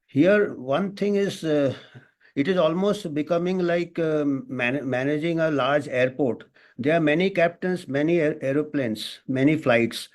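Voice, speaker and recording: An Indian-accented man speaks as an expert on a panel, in a somewhat high-pitched voice. He thinks aloud, halting and restarting his phrases as he builds his points. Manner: halting, thinking aloud with frequent false starts. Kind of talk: extemporaneous panel remarks on a professional subject.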